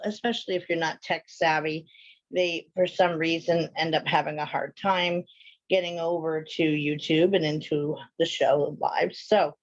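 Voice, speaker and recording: Woman, lowish pitch, stuffy sinusy voice, chatty informal livestream host, rambling delivery, dull lo-fi audio. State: sinusy, sniffly from allergy. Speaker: host of a live online show. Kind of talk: casual livestream chat with viewers.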